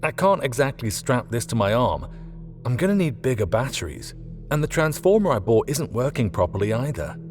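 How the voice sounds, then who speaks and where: A man voicing an eager, excitable male tinkerer in an audiobook, informal and enthusiastic. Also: medium pitch, fairly quick, faint music behind, close to an everyday voice.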